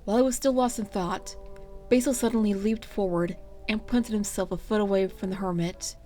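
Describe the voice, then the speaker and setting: A woman's voice: mid-range voice, fairly even intonation, brisk, warm tone. Woman narrating a story over music.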